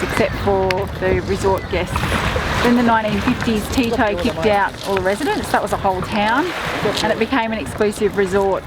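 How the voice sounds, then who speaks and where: A woman hosting a sailing vlog in casual, chatty travel talk. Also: medium pitch. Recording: music and boat noise behind.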